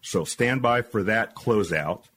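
Measured man hosting a podcast. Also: lowish voice, a bit bright. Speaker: podcast host. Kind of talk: podcast interview.

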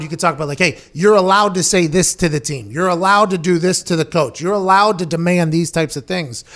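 A male podcast host talks fast and with energy, in a fairly high voice. He is chatty and joking as he steers the interview. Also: fairly bright tone.

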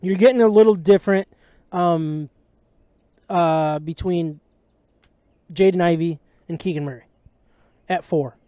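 Man, high voice, mellow tone, casual host, dry close mic. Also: measured pace, long pauses, smooth, no echo. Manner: chatty, jokey, self-deprecating. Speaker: podcast host. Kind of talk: casual sports chat.

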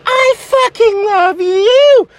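A performer voicing a gushy, melodramatic, lovesick woman with a fickle temper, in a breathy, theatrical comedy parody of romance. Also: extremely high pitch, very slow, exaggerated voice. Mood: gushing, adoring.

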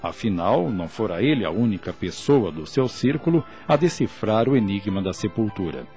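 A man's voice: fairly low pitch, expressive, normal speed, mellow, faint music behind. A man narrating a scripted radio drama in formal, literary diction.

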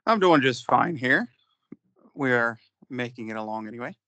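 A man's voice: mid-range voice, animated, moderate pace, sharp tone, no echo, muffled recording. A man in a mid-range voice chatting on a podcast. He stumbles and restarts often, leaning on ums and likes. The sound is dry and close. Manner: hesitant, restarting often with fillers. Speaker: podcast co-host. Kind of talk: casual chat.

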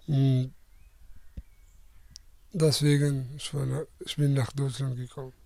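A young man in his twenties from Afghanistan who answers interview questions haltingly, searching for words and restarting often. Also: mid-range voice, little pitch movement, creaky, dark tone, no room sound. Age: 20s.

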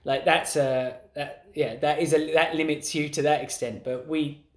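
A man co-hosting a podcast, talking in a casual, animated way and acting out the voices he quotes. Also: a little high, moderate pace. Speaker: podcast co-host. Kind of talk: casual conversational chat. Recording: roomy echo.

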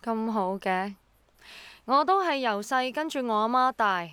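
A woman's voice: a little high, lively intonation, measured pace, fairly bright tone, smooth, dry recording. An actress playing a curious, polite but doubtful young girl in a radio drama, with a Hong Kong accent. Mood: sympathetic.

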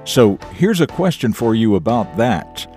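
Animated low-voiced man hosting a morning show. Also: a little slow, faint music behind. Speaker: radio show and podcast host. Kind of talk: scripted morning show presenting, addressed to young listeners.

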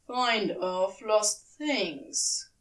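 A woman's voice: medium pitch, drawn-out words, fairly bright tone, far from the mic. A woman voicing a cool, dry, teasing man with an arch, drawling manner in an audiobook story. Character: dry, teasing, cool male companion of an angel.

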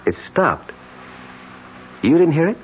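An actor playing a brooding, preoccupied American traveler in a 1970s radio drama, hesitant and earnest, with an American accent. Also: male voice, on the high side, very animated, slow pace. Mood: puzzled. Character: Brian, brooding, preoccupied American traveler seeking ancestral castle. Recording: dull, noisy 1970s radio recording.

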